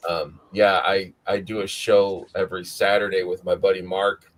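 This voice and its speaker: Male live-show host, low-ish voice, hoarse and scratchy, loose rambling delivery. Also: little pitch movement, normal speed. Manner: rambling, tired but upbeat. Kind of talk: casual live-stream chat.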